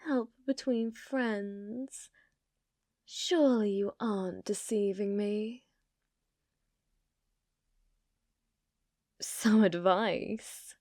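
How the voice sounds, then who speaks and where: Woman playing a slow, teasing, possessive yokai woman. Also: mid-range voice, steady tone, darkish tone, dry recording, clean studio sound, close to an everyday voice. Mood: quietly menacing. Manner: slow, teasing, intimate, often dropping to a whisper. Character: possessive, dominant female yokai, ancient, coyly sweet yet menacing. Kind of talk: ASMR roleplay.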